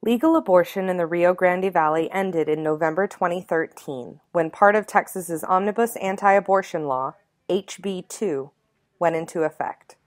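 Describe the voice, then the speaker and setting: Low-voiced woman narrating a news report. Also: moderate pace. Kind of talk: scripted documentary narration.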